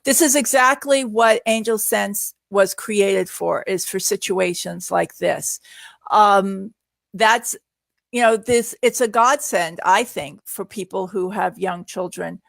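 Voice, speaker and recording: A woman's voice: medium pitch, slow, gravelly, no room sound. A middle-aged woman answering questions in a casual live Q&A, speaking in an earnest, reassuring way. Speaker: guest answering viewer questions.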